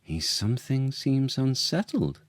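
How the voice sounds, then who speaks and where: Storybook queen, voiced by a male reader: gracious, loving, feminine lilt pitched well up. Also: sing-song, unhurried, no echo. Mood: puzzled. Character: gracious, bright-spirited queen, a loving wife.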